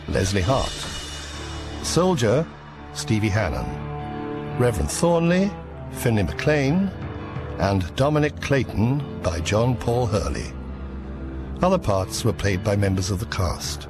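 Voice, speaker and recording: A male announcer reads scripted radio drama credits at a very slow pace. His pitch swings in a lively way, with music clearly playing behind him.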